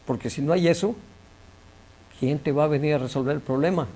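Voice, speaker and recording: An older man with a Mexican Spanish accent, an engineer being interviewed, speaking in a measured, emphatic way and repeating words as he searches for them. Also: a little high, mellow, a little hiss or hum. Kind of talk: conversational interview.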